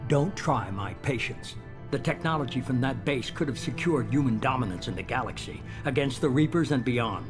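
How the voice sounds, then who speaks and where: A man playing a cool, manipulative mastermind who talks in a measured, persuasive and commanding way. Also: mid-range voice, expressive, music in the background, lightly acted. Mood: cold. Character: ruthless human mastermind, middle-aged man, cool and manipulative. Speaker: shadowy organization leader.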